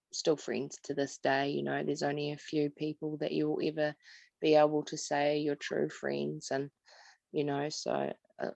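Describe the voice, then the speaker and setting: New zealand woman, gravelly fry, soft-spoken and halting, video call from home. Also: deep voice, dry recording. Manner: soft-spoken, hesitant with many pauses. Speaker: guest. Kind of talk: slow personal recollection. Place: home room, indoors. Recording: dull lo-fi call audio.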